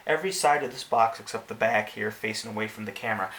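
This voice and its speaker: A man giving casual unboxing narration as a product reviewer, explaining things in a measured, step-by-step way. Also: fairly low pitch, fairly bright tone. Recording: faint background hiss.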